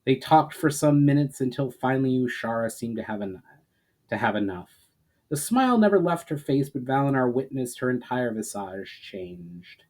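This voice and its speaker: A man reading a fantasy story aloud as a dramatic narration, with emphatic phrases that trail off softly. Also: medium pitch, lively intonation, moderate pace.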